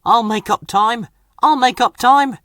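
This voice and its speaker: A man's voice: very high voice, unhurried, fairly bright tone, no echo, studio quality, pitched above the performer's own voice. A man voicing a glum but brave little steam engine in a children's storybook, plaintive and prone to outbursts. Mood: straining, determined.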